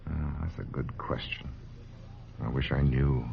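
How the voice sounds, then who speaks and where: A man's voice: a bass voice, unhurried, vocal fry, full character voice. A man acting an earnest, caring doctor in a radio drama, with a grave, intense manner. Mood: grave, concerned. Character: earnest, caring male doctor in love with his patient. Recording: muffled, noisy old radio drama recording.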